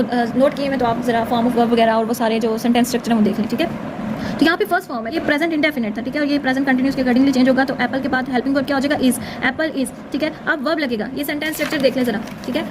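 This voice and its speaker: A woman's voice: high voice, noisy background. A woman teacher explaining in an informal, instructional tutorial style, often checking in with short confirming tags. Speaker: English grammar teacher.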